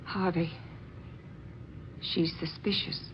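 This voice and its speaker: A woman's voice: on the low side, level tone, takes it slowly. An actress playing an earnest, awed young woman in an old-time radio drama, hesitant and repeating her words. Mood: hushed, tender. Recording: muffled, noisy old radio recording.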